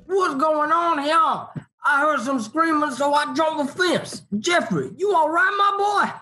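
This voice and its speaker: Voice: sits high, animated, measured pace, sharp tone, exaggerated voice. An actor playing a folksy, protective old grandpa in a radio play, with a drawl. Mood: alarmed.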